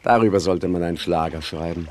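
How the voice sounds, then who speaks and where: An actor playing a roguish, prosaic 1920s gangster in a German radio comedy, with a dry, grumbling, mock-poetic manner. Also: male voice, sits low, a little fast, natural voice. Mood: dry, pedantic.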